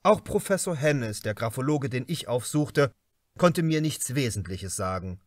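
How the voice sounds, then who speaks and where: A man reading a crime novel as an audiobook narrator, in a measured, expressive and carefully articulated way. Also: mid-range voice, no echo, clean studio sound.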